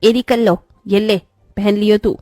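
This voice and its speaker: A woman voicing a sharp-tongued, scornful middle-aged mother in a dramatic cartoon story, scolding and taunting. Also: mid-range voice, little pitch movement, a little slow, dry recording, clean studio sound, faint music behind, natural voice. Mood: brisk, bossy. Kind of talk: Hindi cartoon story voice acting.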